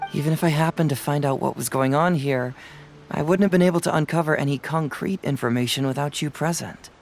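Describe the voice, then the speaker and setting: Cocky young detective. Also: male voice, a little high, fairly quick, vocal fry, faint music behind. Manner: playful, self-assured, laughs lightly. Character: young sharp-witted male detective, teasing. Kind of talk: game voice acting.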